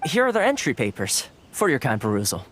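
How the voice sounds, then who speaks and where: An actor playing a friendly, playful young local fixer in a video game, speaking in a genial, casual way. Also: male voice, medium pitch, wildly swooping pitch, fairly quick, heavy background noise. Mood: relaxed, explanatory.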